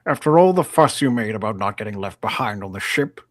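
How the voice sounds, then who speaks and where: A man's voice: lowish voice, lively intonation, a little fast, no echo. A man playing a grumpy, disdainful veteran actor with a curt, dry delivery in a live script read. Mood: sardonic.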